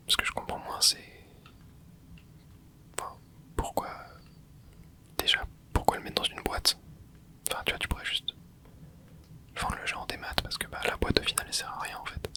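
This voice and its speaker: An ASMR creator giving soft, whispered commentary while playing a video game, rambling and hesitant with lots of fillers and restarts. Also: moderate pace, long pauses. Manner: soft unvoiced whisper throughout.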